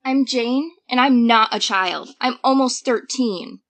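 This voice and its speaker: An earnest girl of twelve, played by a woman has a fairly high voice. She sounds anxious and hesitant, quick to bristle, as a character in an audio drama. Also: a bit bright. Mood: indignant.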